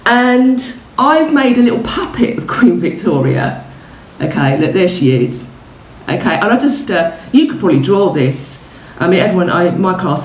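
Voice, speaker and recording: A woman's voice: mid-range voice, darkish tone. Woman teacher in an echoey room. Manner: chatty, explains simply, often checks with "okay". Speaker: primary school teacher. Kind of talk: informal show-and-tell lesson for children. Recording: roomy echo, distant mic, faint noise.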